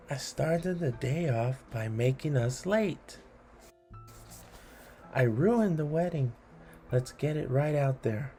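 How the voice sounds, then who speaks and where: A man reading funny children's rhymes aloud in a deliberate, theatrical storytelling way. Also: mid-range voice, gravelly, warm tone. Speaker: read-along host.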